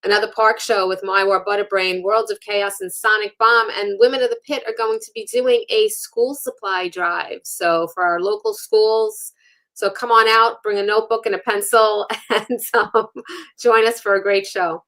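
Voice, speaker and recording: A woman's voice: medium pitch, steady tone, moderate pace, fairly bright tone. A woman co-hosting a live show, upbeat and teasing as she announces an event. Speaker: co-host. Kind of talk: casual chat.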